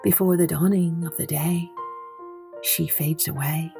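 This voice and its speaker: A woman reading poems and stories aloud for children in an unhurried, dramatic storytelling style, with phrases that trail softly away. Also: lowish voice, steady tone, gravelly, over music. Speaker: children's storytelling podcast host and reader.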